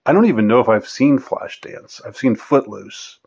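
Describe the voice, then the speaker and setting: A man in his fifties answering viewer questions in a casual, unscripted monologue, rambling and halting as he lets thoughts trail off. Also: mid-range voice, animated, gravelly, a little mellow, breathy edge. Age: 50s.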